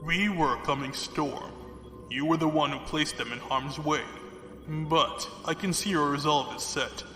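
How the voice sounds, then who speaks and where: A man's voice: on the high side, measured pace, pushed and bright. A man playing a courtly, condescending, ruthless fleet commodore villain in an audio drama, speaking formally. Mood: cold, menacing. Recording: echoey hall reverb, music behind.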